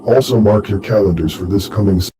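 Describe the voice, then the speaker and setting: A man with a very low voice delivers scripted announcements as the host of a horror radio show. Clear noise runs behind him. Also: fast.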